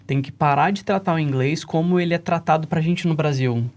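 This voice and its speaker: A man's voice: a little high, a little fast, a little mellow. A man with a Brazilian accent, explaining emphatically as a guest on a casual panel talk. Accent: Brazilian Portuguese. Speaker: panelist, English teaching founder.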